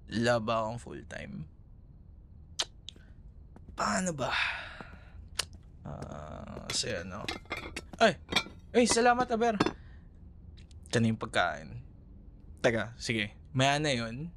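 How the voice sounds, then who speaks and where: Man acting as friendly ex-boyfriend, Filipino accent, mid-pitched, very animated intonation, teasing, casual, intimate audio roleplay. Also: slow pace, pauses often, background noise, heavily acted. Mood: casual, flustered. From Philippines.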